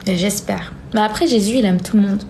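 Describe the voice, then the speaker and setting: A woman's voice: mid-range voice, moderate pace, dark tone, a little hiss or hum. A young woman hosting a live stream, chatting casually as she reads out and answers viewer comments in an informal, hesitant way, often repeating herself. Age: young adult.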